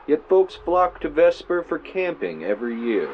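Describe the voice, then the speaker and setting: A man's voice: on the high side, big pitch swings, moderate pace. An actor playing a male true-crime podcaster, telling a spooky story theatrically with wry asides into a clip-on mic. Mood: ominous, dramatic. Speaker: podcast host character. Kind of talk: audio drama, true-crime narration recorded on tape. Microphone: mic clipped to shirt. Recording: muffled noisy tape, clip-on mic in car.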